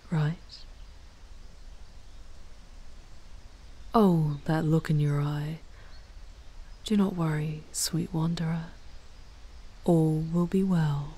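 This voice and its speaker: A woman performs an ancient demoness in an intimate ASMR audio drama. The voice is low and mellow, soft and often whispery. She speaks slowly and formally, wistful and tender with a sly edge. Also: heavily acted. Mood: soothing, concerned. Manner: slow, formal, tender farewell speech. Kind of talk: ASMR audio roleplay, intimate scripted monologue.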